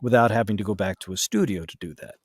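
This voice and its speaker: A man's voice: fairly low pitch, fast talker, no room sound, clean studio sound. A man in a casual interview as a podcast guest, explaining things in a hesitant, self-correcting way. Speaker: podcast guest, voice actor and audiobook narrator.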